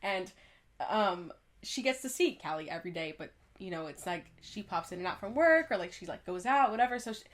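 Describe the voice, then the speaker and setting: A woman's voice: medium pitch, animated, brisk. Congested young Philly woman, chatty. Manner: chatty, laughs often, lots of "like". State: congested, dry throat. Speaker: podcast co-host. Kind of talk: casual chat.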